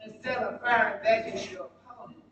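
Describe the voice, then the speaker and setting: Woman preacher, slow emphatic sermon delivery with dramatic pauses, picked up off-mic over clear background noise. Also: fairly high pitch, fairly even intonation, highs cut off. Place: church sanctuary during a live service. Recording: very echoey, distant mic, noisy church livestream.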